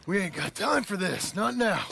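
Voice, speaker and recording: An actor playing a desperate, devoted American outlaw in a video game, with a strained, gravelly voice. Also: male voice, high voice, sing-song, moderate pace, pushed and bright, slight background noise, full character voice. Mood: urgent. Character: desperate, devoted outlaw comrade urging his brother on. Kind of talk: dramatic video game cutscene acting. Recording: dramatic music clearly underneath.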